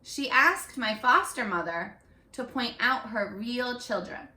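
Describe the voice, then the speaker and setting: A woman reading a picture book aloud to young children in an expressive, instructive way, speaking to them directly as a teacher. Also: a little high, slow pace. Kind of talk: read-aloud for young children with questions to the listeners. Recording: echoey room, distant microphone.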